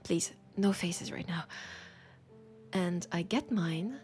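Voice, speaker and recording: Romantic bookseller for an intimate audio roleplay, played by a woman: French accent, unhurried breathy delivery, tender and teasing, music underneath. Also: lowish voice, darkish tone. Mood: fond, playful. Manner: slow, breathy, whispery, tender and playful. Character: romantic, teasing female bookseller fond of a vampire customer. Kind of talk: soft intimate roleplay audio with poetic reading. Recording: music behind the voice.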